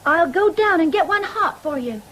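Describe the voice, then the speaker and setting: A woman's voice: extremely high pitch, animated, moderate pace, fairly bright tone, slight background noise. An actress playing a dutiful young servant girl, deferential and hesitant, in a 1970s radio drama. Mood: worried, gentle. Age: young adult.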